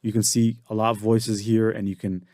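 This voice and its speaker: A man with a fairly low voice walks through a software tutorial in a loose, casual way. He often uses fillers and starts sentences over. His voice is dry and close to the mic. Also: level tone, normal speed, dry recording. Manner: casual explaining with frequent fillers and restarts. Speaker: tutorial instructor.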